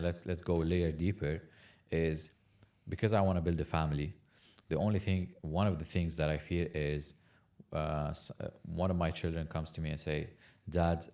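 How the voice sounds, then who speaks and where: A man's voice: rumbling low, darkish tone. A man from Lebanon, a guest on a casual podcast, talking earnestly, stumbling over repeated words and saying "bro" a lot.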